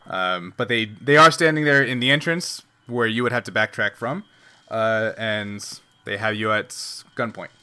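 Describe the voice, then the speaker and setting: Male game master, bright tone, unscripted tabletop narration with a thinking-aloud delivery. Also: lowish voice, moderate pace, clear, resonant tone.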